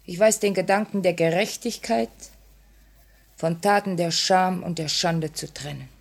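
A woman's voice: on the low side, measured pace, vocal fry. Grave, principled woman revolutionary. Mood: grave, firm. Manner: grave, earnest. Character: principled, compassionate woman revolutionary, quietly firm. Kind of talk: 1950 radio play acting. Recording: vintage 1950 radio recording.

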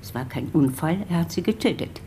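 A woman's voice: sits low, expressive, fairly quick, warm tone, slight background noise. An actress playing a grave, hesitant woman informant revealing a tragedy in a radio drama. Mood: grave, hushed.

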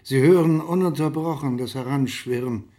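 A man plays a sober commentator in a documentary radio drama. His male voice is of medium pitch and delivers scripted narration. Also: moderate pace, dark tone, close to an everyday voice. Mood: grave.

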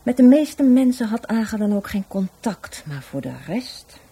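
A woman's voice: fairly high pitch, sing-song, measured pace, dark tone, husky, full character voice. An actress playing a blunt, cynical woman in a radio drama, with a dry, nonchalant way of talking. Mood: blunt, offhand. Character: blunt, offhand, cynical sister of a murder victim.

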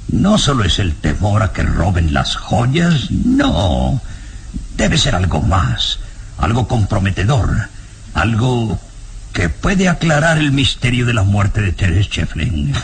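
A male actor playing a suspicious, excitable professor in a Spanish-language radio drama, grumbling and emphatic. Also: fairly low pitch, slow, breathy edge, heavily acted.

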